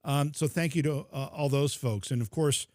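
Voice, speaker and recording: A man's voice: a little high, moderate pace, a little mellow, dry recording. Chatty man co-hosting a podcast, close and dry. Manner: chatty, teasing, reads out supporter thank-yous. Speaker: podcast co-host. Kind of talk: casual livestream chat.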